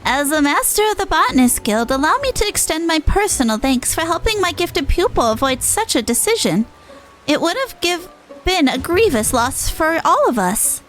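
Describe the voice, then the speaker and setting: A woman's voice: sits high, very animated, moderate pace, fairly bright tone, soft background music, voice pushed up, rough recording. A woman voicing a gracious botanist guild master in a fantasy game, speaking with formal, courteous thanks. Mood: thankful.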